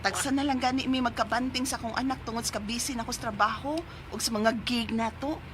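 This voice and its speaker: A woman acting a lively, caring single mother of thirty in a Cebuano radio drama, speaking expressively with a Cebuano accent. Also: fairly high pitch, fast talker, noisy background, natural voice. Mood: bantering. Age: 30s. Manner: expressive, animated. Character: 30-year-old single mother, lively, caring, worried about her child. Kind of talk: Cebuano radio drama, letter narration and dialogue.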